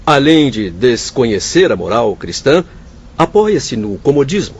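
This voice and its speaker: A man's voice: mid-range voice, muffled recording, slight background noise. A man acting a solemn, kindly doctor spirit in a radio drama, speaking formally and eloquently. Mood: grave, disappointed. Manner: formal, earnest, eloquent phrasing.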